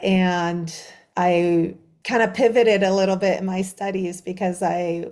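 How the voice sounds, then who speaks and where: A woman's voice: fairly low pitch, fairly even intonation, measured pace, a little mellow. A middle-aged woman from Quebec City whose first language is French, a guest author telling her story in a bubbly, rambling way with many fillers. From Quebec City, Canada. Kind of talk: conversational storytelling.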